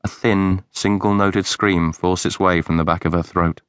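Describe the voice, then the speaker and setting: A man with a fairly low voice reads a mystery audiobook in a measured, even manner. The sound is close and very dry, with no room around it. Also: clear, resonant tone, dry recording. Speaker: audiobook narrator. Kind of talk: scripted audiobook narration. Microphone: close studio mic.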